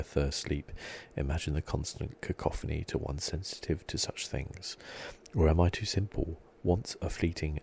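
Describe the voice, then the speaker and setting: Very deep, creaky man doing ASMR. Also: slight background noise. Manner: soft, relaxed, musing. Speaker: gaming channel host. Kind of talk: ASMR video game playthrough commentary.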